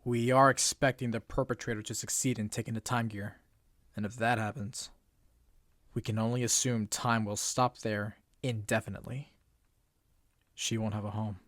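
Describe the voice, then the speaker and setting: A stern, formal bird assistant, acted by a man, lectures in clipped, precise phrases, proud and unbending. His voice sits at an ordinary middle pitch and sounds close and dry, with nothing from the room around it. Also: flat delivery, moderate pace, a bit bright, no room sound, lightly acted. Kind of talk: fan comic dub acting.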